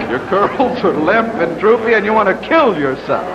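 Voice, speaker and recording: A man hosting a live game show with lively patter, in a vintage fifties broadcast. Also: sits high, normal speed, background noise. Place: television studio with audience. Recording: dull vintage 1950s broadcast, loud audience.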